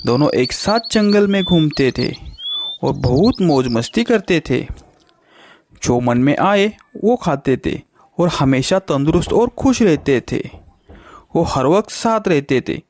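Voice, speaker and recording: A man's voice: on the high side, expressive, takes it slowly, darkish tone. A man narrating a children's bird story in a scripted storytelling style.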